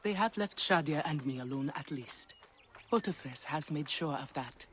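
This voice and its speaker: A woman playing a composed, measured noblewoman in a video game cutscene. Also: deep voice, lively intonation, slight background noise. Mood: calm, reassuring. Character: composed noblewoman, wife of a hunted rebel.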